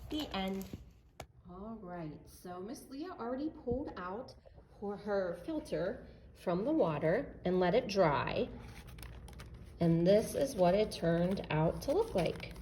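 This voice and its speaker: A woman's voice: mid-range voice, expressive, slow pace, warm tone, a little hiss or hum. A woman working as a children's storyteller, speaking expressively and simply as if to young children. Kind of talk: animated storytime narration and simple craft explanation for children.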